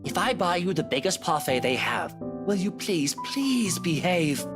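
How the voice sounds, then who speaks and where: Woman doing a posh man, exasperated, condescending, low-pitched, animated intonation, visual novel character, music behind. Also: a little slow. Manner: exasperated, sarcastically sweet endearments. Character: irritated posh young man minding a child.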